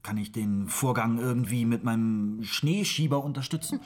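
An actor playing a meek, earnest man in a radio drama, speaking hesitantly with formal courtesy and a husky voice. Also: mid-range voice, normal speed, darkish tone, natural voice. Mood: anxious, eager. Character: meek, polite, earnest man eager to be useful. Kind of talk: German radio drama acting.

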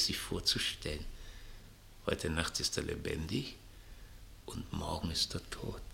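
An actor playing a cynical, scheming master who philosophizes grandly about death in a theatrical, sardonic radio play style. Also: male voice, deep voice, gravelly, exaggerated voice. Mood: musing, morbid. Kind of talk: 1960s German radio play acting.

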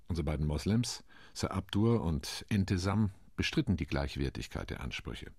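Male actor as a middle-aged UN delegate for a radio drama: deep, raspy, steady reporting tone. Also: no room sound, clean studio sound, full character voice. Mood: measured. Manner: measured, reporting. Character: sober male diplomat recounting committee talks. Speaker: UN commission delegate. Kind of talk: radio play monologue.

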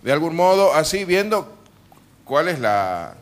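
A man's voice: fairly high pitch, very animated, unhurried. An Argentine man hosting a radio show with humorous, casual banter, telling anecdotes in a wry, colloquial, sweary way. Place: live radio broadcast from a bar.

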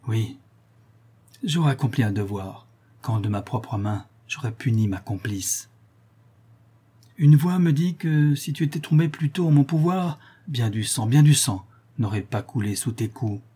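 A husky, darkly tinted voice of a blind old killer, as a male reader puts him on. He broods in an intense, hushed monologue, threat and torment in every phrase. A classic storybook villain, heard in audiobook form. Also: mid-range voice, expressive, measured pace. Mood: brooding menace. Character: blind brutal old male murderer, haunted and vengeful. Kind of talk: audiobook reading of a classic novel.

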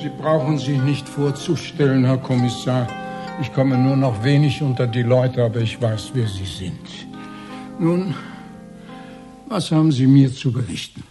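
An actor playing an embittered, grieving old former mayor in a radio crime drama, speaking in a grandiose, lamenting, declamatory way. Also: male voice, medium pitch, unhurried, music in the background, lightly acted. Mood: imperious. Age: older.

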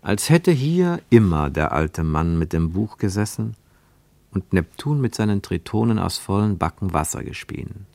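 Tiefer männlicher Erzähler, sehr trockener Klang. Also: male voice, low-pitched, lively intonation, moderate pace, vocal fry, darkish tone, dry recording. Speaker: narrator. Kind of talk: literary scripted narration for a radio play.

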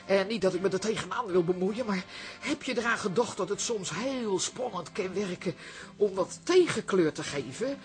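An actress in a radio comedy playing a chatty, self-important former stage actress with a theatrical, gushing manner. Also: female voice, mid-range voice, expressive, moderate pace, close to an everyday voice. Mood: eager, angling. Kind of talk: radio comedy drama acting.

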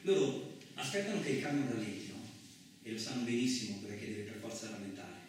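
A very reverberant, noisy recording, picked up from a distance, carries a male actor as a seasoned truck driver. He tells his tale like theatre, rough and talkative, rambling along with colloquial asides and rhetorical questions. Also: fairly low pitch, quick pace, close to an everyday voice. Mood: vivid, wary. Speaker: actor performing a radio theatre monologue. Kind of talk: colloquial theatrical monologue, storytelling.

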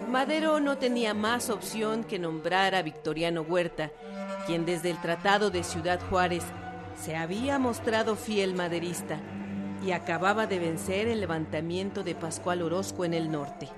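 A woman's voice: lowish voice, normal speed. A woman delivering scripted historical narration for a radio drama. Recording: music bed behind narration.

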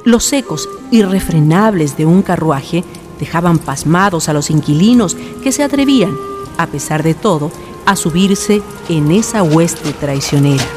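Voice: medium pitch, lively intonation, a little slow, music in the background, close to an everyday voice. A narrator solemnly delivering a literary introduction to a legend in a radio drama.

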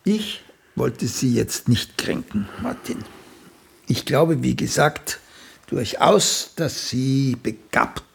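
Gravelly, husky older playwright. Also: male voice, mid-range voice, sing-song, takes it slowly, heavily acted. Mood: condescending. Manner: hesitant, theatrical, patronizing, sardonic. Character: condescending older male celebrated playwright, mentor to younger writer. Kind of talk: radio play dialogue.

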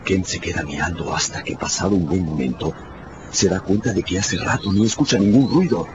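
A man's voice: deep voice, brisk, background noise. A man narrating a scripted story for radio in a dramatic storytelling style. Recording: dull sound with music behind.

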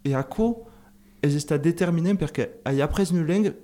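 A man in a casual radio discussion, talking in a hesitant, halting way with many fillers. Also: on the high side, warm tone. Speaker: radio show guest.

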